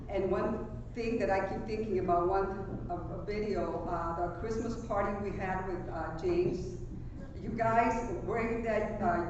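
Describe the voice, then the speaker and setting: A woman, an association president giving a chatty, rambling speech with a loud voice. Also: mid-range voice. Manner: chatty, rambling, many fillers. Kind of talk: speech at a meeting. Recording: distant, roomy, muffled with room noise.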